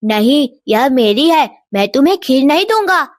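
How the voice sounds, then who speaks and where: A stubborn small boy played by a woman insists in a high, petulant voice. The delivery swoops about in animated storybook style. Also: normal speed, no echo, studio quality. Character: stubborn, selfish little village boy.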